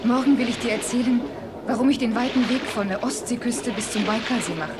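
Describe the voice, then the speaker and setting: A woman's voice: on the high side, brisk, very noisy, close to an everyday voice. An actress playing an earnest, determined young woman in a radio play. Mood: hopeful. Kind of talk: German radio play acting.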